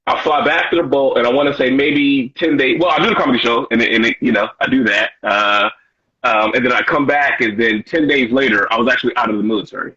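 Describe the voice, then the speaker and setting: A bright, husky-edged male voice tells loose, slangy stories full of "you know" and "man". He is a stand-up comedian from Washington, D.C., in his thirties, talking as a guest. The audio is dull and lo-fi. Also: mid-range voice, steady tone, brisk. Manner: laid-back slangy storytelling with frequent "you know". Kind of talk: casual interview chat.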